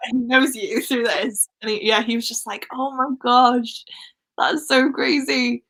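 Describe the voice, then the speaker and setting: Bright-voiced young Welsh woman. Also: a little high, slow. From Wales. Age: young adult. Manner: chatty and excitable. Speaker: host. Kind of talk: casual live-stream chat.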